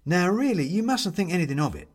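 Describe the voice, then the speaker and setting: A man's voice: high voice, very animated, fast talker, pitched above the performer's own voice. A man voicing a relentlessly cheerful, chatty little china man in a storybook, gleeful and a touch pompous.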